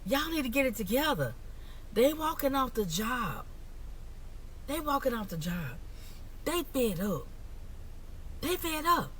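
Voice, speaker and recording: A woman's voice: high-pitched, big pitch swings, unhurried, pauses often, a bit bright. An American woman giving casual commentary in a sassy, emphatic way, repeating her points, on a slightly noisy recording. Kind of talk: casual social media commentary.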